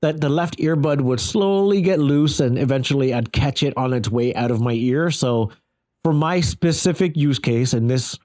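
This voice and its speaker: A man's voice: medium pitch, normal speed, darkish tone. A man giving a casual, conversational first-person gear review, repeating phrases for emphasis. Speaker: product reviewer.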